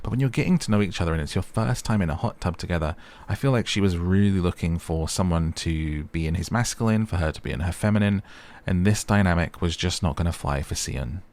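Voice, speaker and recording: A man with a deep, low-pitched voice hosts a casual recap. He talks in a chatty way and slips wry asides into his scripted commentary. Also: quick pace, a little mellow. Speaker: host of a recap channel.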